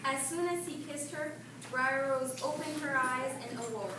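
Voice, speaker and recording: High-voiced woman storytelling in an echoey room. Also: normal speed. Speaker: storyteller narrator. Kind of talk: live children's storytelling. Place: library event room, live show. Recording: distant, echoey, very noisy room.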